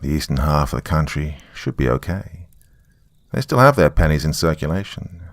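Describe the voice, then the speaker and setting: A man playing a doomed, weary survivor recording a final message in a horror story, hushed and halting with bitter, wry asides. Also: rumbling low, big pitch swings, dry recording, clean studio sound, heavily acted. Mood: bitter, reflective. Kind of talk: dramatic first-person horror monologue.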